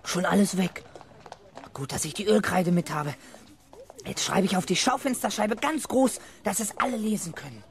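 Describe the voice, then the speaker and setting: An actor playing a small, cocky, daydreaming schoolboy in a 1970s children's radio play, speaking in a halting way. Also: mid-range voice, lively intonation, close to an everyday voice. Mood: gleeful, scheming. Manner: slow, halting, sounding out words as he reads and writes.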